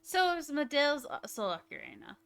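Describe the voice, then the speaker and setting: A woman's voice: high voice, sing-song, a little fast, gravelly, a bit bright. A woman livestreamer giving casual live game commentary, gushing and giggly as she thinks aloud.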